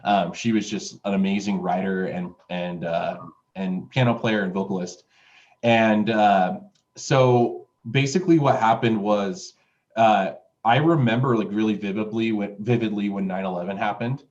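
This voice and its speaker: Man, twenties, from utah, fairly low voice, full of fillers and restarts, lo-fi recording. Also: moderate pace, darkish tone. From Utah, USA. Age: 20s. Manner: rambling, with many fillers and restarts. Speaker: music producer and interview guest. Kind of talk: casual interview storytelling. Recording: dull, lo-fi sound.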